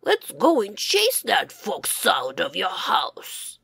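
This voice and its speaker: A woman voicing a big, bold storybook bear with slow, emphatic, drawn-out words. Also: medium pitch, wildly swooping pitch, gravelly, fairly bright tone, husky, dry recording, studio quality, exaggerated voice. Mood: determined.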